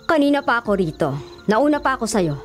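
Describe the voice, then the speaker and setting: A woman's voice: on the high side, sing-song, fast. Strict mom in her middle years, radio drama, questioning and stern, music in the background. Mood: stern, suspicious. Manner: stern, interrogating. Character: stern, suspicious middle-aged mother. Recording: music behind the voice.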